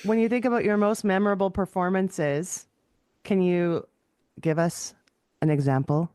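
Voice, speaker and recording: A woman podcast host with a clear, smooth voice interviews casually. The sound is dry, with no room around her. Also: on the low side, steady tone, normal speed, darkish tone, no room sound.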